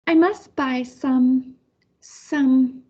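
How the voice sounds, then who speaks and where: Woman voicing a halting, high-pitched elderly grandmother. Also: steady tone, mellow, muffled recording, exaggerated voice. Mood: searching. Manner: halting, searching for words. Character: forgetful elderly grandmother, halting, easily annoyed, affectionate.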